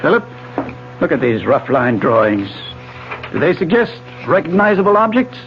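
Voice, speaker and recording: An actor playing a suave, condescending middle-aged husband and researcher in a 1970s radio drama, talking in a polished, lecturing way. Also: male voice, a little high, very animated, normal speed, over music. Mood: intent, lecturing. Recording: muffled 1970s AM radio broadcast.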